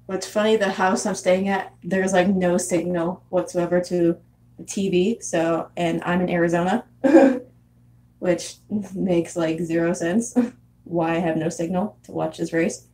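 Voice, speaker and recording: A woman in her twenties from San Diego, chatting casually with viewers as a vlogger, laughing often and filling pauses with "um" and "like". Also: fairly low pitch, fairly even intonation, a little mellow. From San Diego, California. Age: 20s. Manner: chatty, frequent laughs and fillers. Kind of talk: casual live chat reading comments. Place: house in Arizona.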